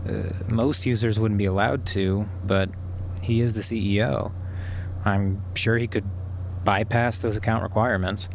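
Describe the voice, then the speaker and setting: An actor playing a clever, controlled hacker plotting revenge, delivered as dry, naturalistic audio drama dialogue. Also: male voice, fairly low pitch, fairly even intonation, normal speed, slight background noise, close to an everyday voice. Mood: focused. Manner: matter-of-fact, dry, controlled.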